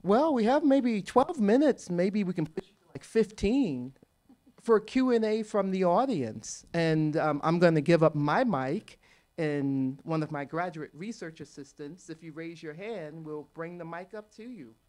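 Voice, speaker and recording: A woman moderating a panel in a brisk, polite manner. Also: lowish voice, lively intonation, mellow, no echo.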